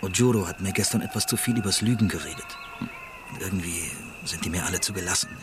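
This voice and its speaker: Low-voiced keen investigator, played by a man. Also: fast talker, noisy background, natural voice. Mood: keen, suspicious. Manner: hesitant restarts, eager, chuckling. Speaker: actor in a radio play. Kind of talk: naturalistic radio drama acting.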